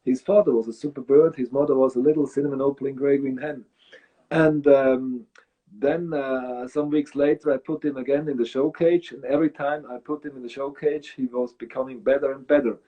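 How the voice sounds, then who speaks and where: A Swiss man with a Swiss German accent, speaking English as a guest in a casual interview, thoughtful and halting with many fillers. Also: medium pitch, steady tone, lo-fi sound. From Switzerland. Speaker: guest breeder.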